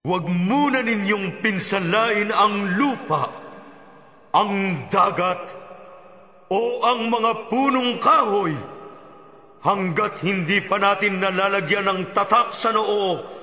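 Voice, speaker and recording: A man playing a commanding angel in a Bible audio drama, calling out solemn, measured orders. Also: sits high, bright, forceful tone, heavily acted. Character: commanding male angel carrying God's seal. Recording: long cavernous reverb.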